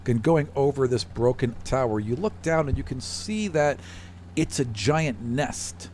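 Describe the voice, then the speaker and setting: A man's voice: mid-range voice, expressive, measured pace, darkish tone. Man game-mastering, noisy recording. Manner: conversational, thinks aloud while describing scenes. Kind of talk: improvised narration of a tabletop fantasy game.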